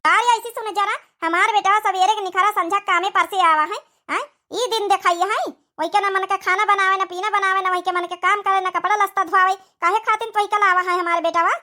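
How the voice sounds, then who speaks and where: A woman's voice: very high-pitched, steady tone, fairly quick, hardly any pauses, sharp tone. A woman voicing an aggrieved older village mother-in-law with an Awadhi accent, complaining and scolding in a cartoon comedy. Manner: complaining, nagging.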